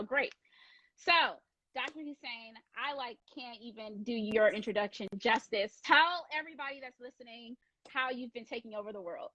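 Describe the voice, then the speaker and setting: Host: bubbly woman, higher pitch, medical student, dull dry lo-fi sound. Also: bright, forceful tone, no room sound. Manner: bubbly, enthusiastic. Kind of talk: casual conversational interview.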